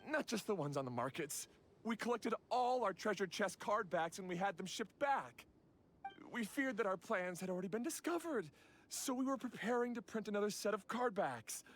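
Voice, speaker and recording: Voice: medium pitch, animated, brisk, fairly bright tone, slight background noise, lightly acted. An actor playing a flustered, hesitant bandit lackey in a video game, eager to explain everything. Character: nervous, eager-to-talk bandit lackey pleading for mercy.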